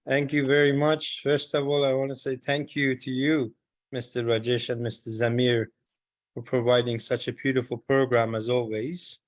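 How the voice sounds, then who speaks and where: A man from Iran speaks up during an online seminar, friendly and emphatic, pausing with fillers. His voice has a middle pitch. The sound is dry and close, with no room echo. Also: flat delivery. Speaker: seminar participant. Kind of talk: spontaneous comment.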